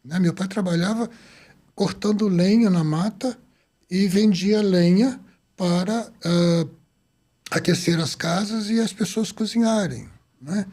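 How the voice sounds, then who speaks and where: A man in his seventies from the Azores, a doctor telling his story in an interview, lively and acting out the dialogue he recalls. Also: high-pitched, a little slow. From Azores, Portugal. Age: 70s. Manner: lively, acts out quoted dialogue. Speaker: doctor guest.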